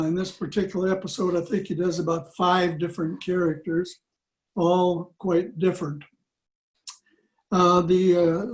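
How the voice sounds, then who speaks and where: An elderly man giving an informal introduction to a program on a video call, in a halting, deliberate way. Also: sits high, a little mellow, poor audio. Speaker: club presenter introducing an old radio show. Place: small room at home. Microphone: computer video call.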